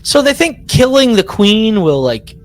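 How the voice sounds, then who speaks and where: A man's voice: sits high, big pitch swings, measured pace. A man co-hosting a podcast, chatting casually and informally about what he has listened to. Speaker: podcast co-host. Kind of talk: casual chat about a sci-fi audio drama.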